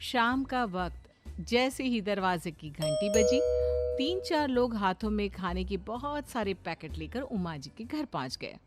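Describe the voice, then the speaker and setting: A woman's voice: medium pitch, very animated, unhurried. A woman narrating a scripted story as its storyteller. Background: faint music.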